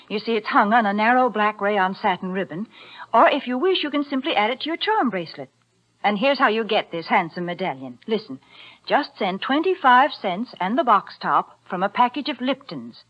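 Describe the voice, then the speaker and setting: 1940s commercial announcer: bright-mannered, sensible, lilting delivery, selling to listeners on old radio. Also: female voice, fairly high pitch. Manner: chatty, persuasive. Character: sensible, cheerful female sponsor spokeswoman. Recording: muffled old radio recording.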